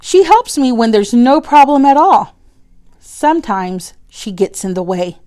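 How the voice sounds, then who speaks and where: A woman's voice: on the high side, sing-song, unhurried, mellow. A woman voicing an independent, frank young schoolgirl in a storybook, speaking earnestly and insistently.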